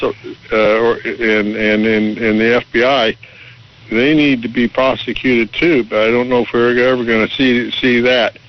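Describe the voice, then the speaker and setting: An American man phoning a radio show with his opinions, halting and stammering as he repeats his words. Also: mid-range voice. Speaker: radio phone-in caller. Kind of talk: political opinion call. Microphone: telephone. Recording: muffled call over shortwave radio.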